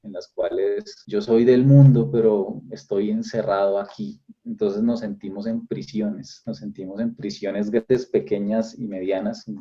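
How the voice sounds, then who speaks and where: A young Colombian man with a low, very dark and mellow voice and a Colombian accent. He answers interview questions in a thoughtful, reflective way, restarting phrases and lifting his voice to stress points. The recording is dull and lo-fi. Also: fairly even intonation, measured pace, hardly any pauses.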